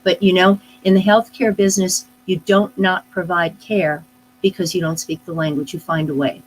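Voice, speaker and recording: A middle-aged woman, a speech pathologist, answering interview questions in a thoughtful, unhurried way, with many pauses and restarts. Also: fairly low pitch, steady tone, slight background noise, low-quality recording. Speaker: speech-language pathologist guest. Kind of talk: live online interview, explaining casually.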